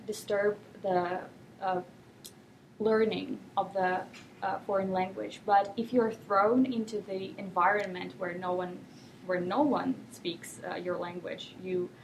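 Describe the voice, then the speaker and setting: A young woman from Russia, whose first language is Russian, answering interview questions thoughtfully with many pauses and fillers. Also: medium pitch, gravelly, slight background noise, poor audio. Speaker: guest.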